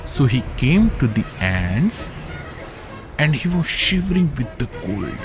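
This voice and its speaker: A man narrating a children's story as a storyteller, in short phrases with dramatic pauses. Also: mid-range voice, big pitch swings, music in the background.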